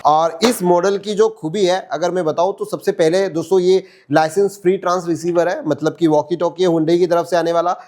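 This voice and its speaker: Indian male tech reviewer, fairly high voice, conversational and explanatory delivery for a casual product walkthrough. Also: steady tone, moderate pace, hardly any pauses, a little mellow. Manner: conversational, explanatory, Hindi mixed with English terms.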